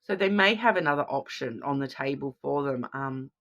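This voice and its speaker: Woman card reader with a head cold, sniffly, gravelly edge, chatty, keeps checking in with viewers. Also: rumbling low, animated, normal speed, fairly bright tone, highs cut off. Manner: chatty, frequent "okay?" check-ins. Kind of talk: casual card reading to viewers.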